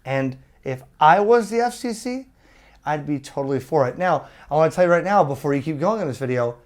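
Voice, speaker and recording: A man's voice: a little high, animated, a little fast. A man giving an opinionated, exasperated video rant to his viewers in a blunt, emphatic way. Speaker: ham radio YouTuber.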